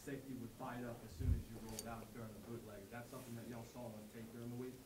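A man's voice: mid-range voice, flat delivery, rapid-fire, warm tone, a little hiss or hum. A male reporter asking long, detailed tactical questions at a press conference.

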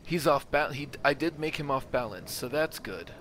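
A man's voice: medium pitch, expressive, moderate pace, fairly bright tone, a little hiss or hum. A man giving casual gameplay commentary, thinking aloud as he works out his decisions. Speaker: gamer, host of a let's play.

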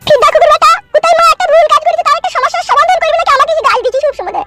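A woman's voice: very high voice, racing, very noisy. A woman voicing an indignant young wife who snaps back in a comedy cartoon. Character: shrill quarrelsome young wife defending her mother. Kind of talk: amateur comedy cartoon dubbing.

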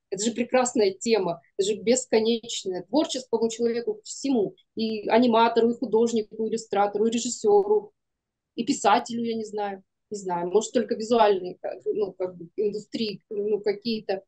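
A woman's voice: on the high side, normal speed, dark tone. A middle-aged woman talking casually as a guest on a video call. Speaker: guest, animation studio producer. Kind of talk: casual conversation. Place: indoor room, video call.

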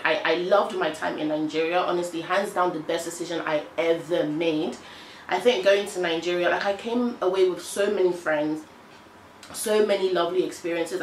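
A young woman with an English accent talks frankly to camera in an animated, exasperated way. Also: fairly low pitch, reverberant, slight background noise, rough recording. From United Kingdom. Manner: animated, frank, exasperated storytelling with hand gestures. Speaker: vlogger. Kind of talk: casual chat to camera. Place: small indoor room, on a sofa.

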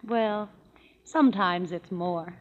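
An actress playing a soft-spoken, wry young woman in a 1930s radio drama, on a dull lo-fi old recording. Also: on the low side, slow pace. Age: young adult. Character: wry, resigned older sister. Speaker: sister Emma.

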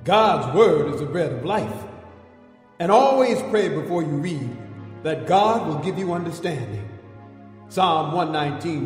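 Radio drama pastor: fervent, declamatory, very slow, in an echoing hall. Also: male voice, a little high. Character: fervent, encouraging male church pastor. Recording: echoey church-like hall, music behind.

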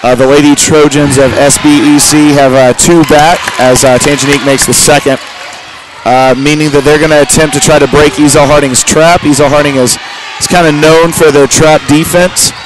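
A man with a loud voice giving halting, amateur live play-by-play of a basketball game. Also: on the high side, fairly even intonation. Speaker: play-by-play sports commentator. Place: large indoor school gymnasium during a game. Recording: noisy gym crowd and bouncing ball. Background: crowd noise, basketball bounces.

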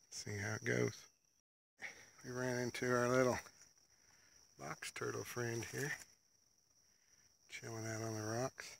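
A man with a gravelly, creaky voice of middle pitch talks as he walks a forest trail. His folksy, rambling commentary comes through a handheld camera outdoors, with faint background noise. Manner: laid-back, rambling, folksy talk. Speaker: vlogger. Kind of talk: casual hiking commentary. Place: wooded ravine outdoors. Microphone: handheld camera while walking.

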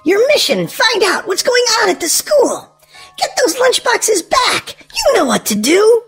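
Female children's story host, extremely high voice, hugely varied sing-song delivery, music underneath. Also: wildly swooping pitch, a little slow, a bit bright. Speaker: host and reader of a children's read-aloud show. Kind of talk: animated children's storybook narration.

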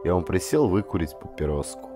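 A man with a fairly low voice narrates a literary audiobook in the first person. His delivery is dramatic and deliberate, with lively rises and falls in pitch. Music plays behind him.

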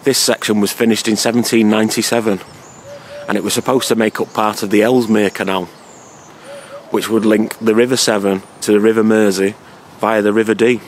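A man in his thirties talks to a close camera outdoors, his voice thickened and lowered by a cold. He is chatty and wry, with a low-pitched tone and a faint hum of the surroundings behind him. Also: little pitch movement, a little fast. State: has a cold, deeper voice than usual. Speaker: cycling vlogger. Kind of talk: casual travel vlog to camera. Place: outdoors at a railway station and canal. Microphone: camera close up at arm's length.

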